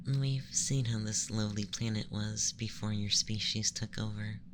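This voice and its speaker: An ASMR performer voicing a calm, condescending young male alien abductor in a breathy, often whispered, gentle and formal monologue. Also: medium pitch, noisy background, close to an everyday voice. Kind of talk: ASMR roleplay, scripted monologue.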